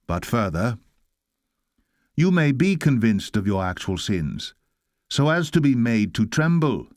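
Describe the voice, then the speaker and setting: A man's voice: lowish voice, darkish tone, no echo, clean studio sound. A man reading an old sermon aloud in a solemn, oratorical style, exhorting listeners with weighty rhetorical delivery. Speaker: reader of a historic sermon.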